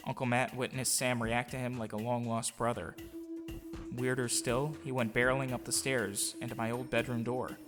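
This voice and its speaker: A man's voice: mid-range voice, little pitch movement, moderate pace. A man giving an earnest, sincere scripted narration of his own life story in audio drama form. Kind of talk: scripted autobiographical narration with acted dialogue. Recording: music behind the voice. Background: music.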